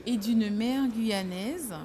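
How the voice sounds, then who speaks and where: A woman from French Guiana, the founder of a fashion brand, talks about her childhood in an interview, slowly and hesitantly, with many fillers. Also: fairly high pitch, crowd noise.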